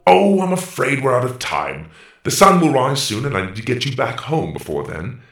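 A man voicing a brisk, formal robot tour guide in a children's audiobook, precise and announcing, with a roomy echo. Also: medium pitch, big pitch swings, vocal fry, a bit bright. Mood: apologetic, firm. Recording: roomy, echoing voice.

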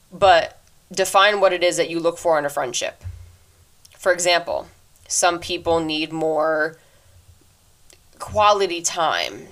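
A woman in her twenties hosting a solo podcast, talking earnestly and reflectively into her microphone, fast by habit, with a deep voice. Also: a bit bright. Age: 20s. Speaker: podcast host. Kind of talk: casual solo advice talk.

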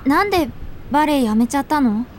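A woman's voice: high voice, expressive, fast, slight background noise. An actress playing an earnest, persistent, direct teenage schoolgirl learning ballet, in radio drama dialogue and narration. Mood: probing.

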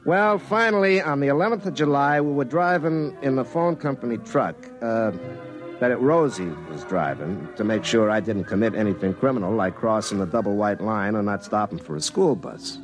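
An actor as an excitable, hapless young telephone lineman. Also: mid-range voice, normal speed, natural voice. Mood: rueful storytelling. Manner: folksy, excitable, self-dramatizing. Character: hapless, earnest young telephone lineman telling his own story. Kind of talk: first-person comic radio drama narration and dialogue. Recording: classic radio show with music underneath.

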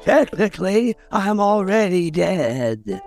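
High, creaky, cranky ghoul. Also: male voice, sing-song, slow, darkish tone, faint music behind, full character voice. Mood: nervous, pleading. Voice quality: creaky, gravelly. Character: cranky, cowardly undead male ghoul salesman. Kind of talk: old-time radio drama acting.

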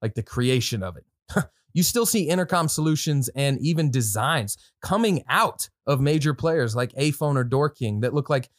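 A man's voice: mid-range voice, lively intonation, moderate pace, no echo, studio quality. A man hosting a podcast, giving casual product commentary with informal, slangy asides and some reading from notes. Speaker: podcast host.

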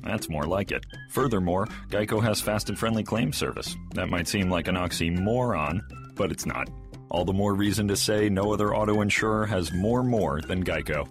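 Man, low deep voice, scripted advertisement, polished announcer delivery, deliberate phrasing. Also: faint music behind. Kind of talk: scripted commercial read.

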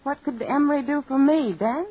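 A woman's voice: high voice, close to an everyday voice. An actress playing a weary, guarded woman who stammers and hesitates, in a 1940s radio drama. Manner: halting, stammering. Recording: lo-fi old radio broadcast.

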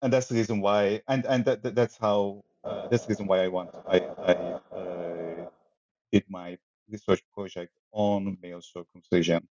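A man's voice: on the low side, fairly even intonation, moderate pace, darkish tone, lo-fi sound. A man from Turkey with a Turkish accent, a sociologist talking about his research as an interview guest, hesitant with many restarts and fillers. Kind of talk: academic interview.

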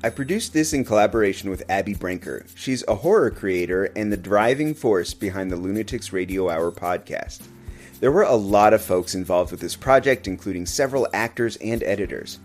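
Lively male podcast host over soft music. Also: lowish voice, fairly quick. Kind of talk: scripted podcast introduction.

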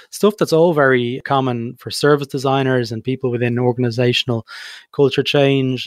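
A man hosting a design podcast, introducing the episode and interviewing his guest in a relaxed conversational way. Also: mid-range voice, no room sound, studio quality. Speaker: podcast host.

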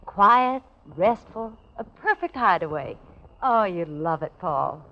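A woman's voice: lowish voice, lively intonation, takes it slowly. A tender sweetheart in a vintage radio drama, genteel and coaxing. Her melody rises and dips freely. The old recording sounds dull and lo-fi. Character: devoted, coaxing young woman in love.

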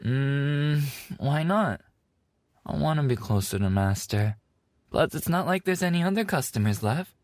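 A man's voice: a little high, expressive, measured pace, a little mellow, no room sound, studio quality. A man voicing a playful, affectionate animal-boy maid café server in an ASMR roleplay, teasing and giggly in a cutesy way. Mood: clingy, affectionate.

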